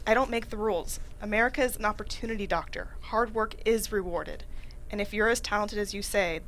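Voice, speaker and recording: A woman playing a firm, measured hospital administrator in a table read. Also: medium pitch, a bit bright, slight background noise, lightly acted, low-quality recording. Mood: firm, formal. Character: firm, matter-of-fact American hospital administrator.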